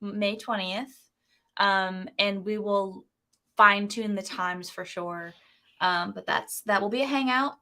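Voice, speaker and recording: A woman's voice: mid-range voice, steady tone, takes it slowly, pushed and bright. A woman co-hosting a casual whiskey livestream, talking in a candid, earnest and slightly self-conscious way.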